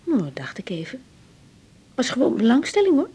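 Husky, animated young woman doctor. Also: a little high, moderate pace, exaggerated voice. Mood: curious, chatty. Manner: expressive, questioning, stammers when upset. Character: young woman doctor, harried, emotional, insistent. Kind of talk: 1980s radio drama acting.

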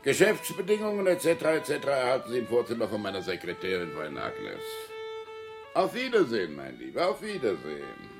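Ein Schauspieler spielt in einem Hörspiel einen theatralischen, jovialen Bankdirektor, überschwänglich und gebieterisch. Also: male voice, medium pitch, huge pitch swings, a little fast, vocal fry. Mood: effusive. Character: theatrical, genial male bank director. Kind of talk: radio play acting. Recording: music playing behind the voice.

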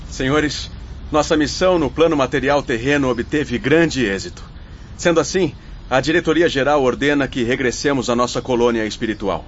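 A man's voice: medium pitch, normal speed, natural voice. An actor playing an authoritative, composed male leader of spirits in a radio drama, speaking formally with a Brazilian accent. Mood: solemn, kindly. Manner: commanding, formal. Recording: dull lo-fi audio, faint night ambience.

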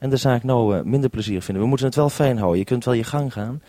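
A man's voice: medium pitch, fast talker, mellow, no room sound. A man talking over a two-way radio link as a programme maker, hesitant and considerate, handing over as he goes. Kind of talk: two-way radio conversation with handovers.

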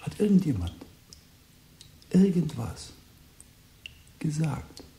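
A man's voice: on the high side, big pitch swings, slow pace, vocal fry, dark tone, a little hiss or hum, exaggerated voice. An actor playing a controlled, shrewd, probing man in a German crime radio play. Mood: quiet, probing. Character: calm, controlled, shrewd middle-aged man of crime drama.

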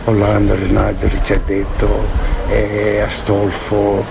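A man's voice: lowish voice, completely flat delivery, slow, vocal fry. An older man with a Sicilian accent, reminiscing casually. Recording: noisy field recording with vehicle hum.

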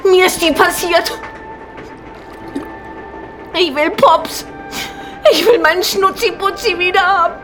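An actress playing a coarse, bitter widow in a radio play, muttering conspiratorially and melodramatically. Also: female voice, very high voice, steady tone, takes it slowly, long pauses, music in the background. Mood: tearful, self-pitying.

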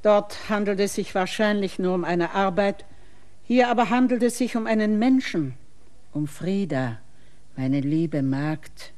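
An actress playing a stern, middle-aged innkeeper who lectures a stranger with condescension in a radio play. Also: female voice, mid-range voice, expressive, a little slow, a little mellow, lightly acted. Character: stern middle-aged woman innkeeper, guarding her maid.

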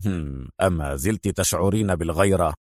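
A commanding, self-assured chief from a spy story , put on by a male narrator. He speaks in a lowered pitch with firm, curt authority. The recording is dry and close. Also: a little fast, darkish tone, no room sound. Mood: amused.

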